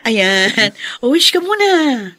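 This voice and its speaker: A devoted, encouraging wife and daughter-in-law from a radio drama. Her voice sits fairly high and she takes her time, heartfelt and earnest. The sound is dry and close. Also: sing-song, takes it slowly, pushed and bright, no echo, exaggerated voice. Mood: cheerful, doting. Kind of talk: Filipino radio drama acting.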